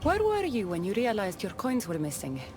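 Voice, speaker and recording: Wry tough warrior lady for a game, dry n direct, pitch moves around a lot, music under it. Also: wildly swooping pitch, moderate pace. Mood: brisk questioning. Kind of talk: video game dialogue acting.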